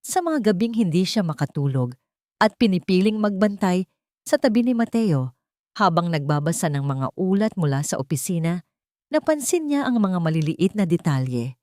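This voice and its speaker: A woman's voice: fairly low pitch, sing-song, moderate pace, a little mellow, dry recording, studio quality. A woman with a Filipino accent reads a scripted dramatic audio story as its narrator.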